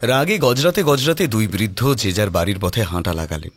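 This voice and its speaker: A man's voice: lowish voice, animated, fairly quick. A man narrating a horror audio story in a dramatic, scripted style.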